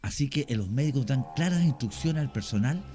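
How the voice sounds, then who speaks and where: A man, a radio guest telling an old ghost legend in a measured way with some "eh" fillers. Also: medium pitch, animated, dark tone, faint music behind. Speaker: guest storyteller.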